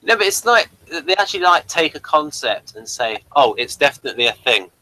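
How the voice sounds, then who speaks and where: Bright-voiced man chatting as review host. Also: a little high, very animated, brisk, poor audio. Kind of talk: casual review chat.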